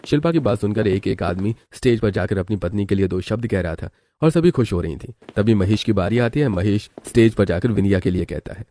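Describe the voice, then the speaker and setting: A man's voice: on the low side, animated, brisk, few pauses, warm tone, dry recording. A man reading scripted narration for a story.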